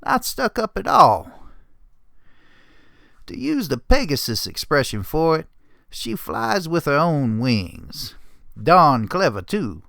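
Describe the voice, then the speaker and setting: A grizzled old pony adventurer, as acted out by a man, narrates a storybook tale. His folksy, grumbling delivery is unhurried and swings freely up and down in pitch. The voice is full of gravel and curmudgeonly temper. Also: very animated, a bit bright, no echo, studio quality, heavily acted. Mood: grumbling, reminiscing. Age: older. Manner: folksy, curmudgeonly drawl with clipped phrases. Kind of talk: first-person storybook narration.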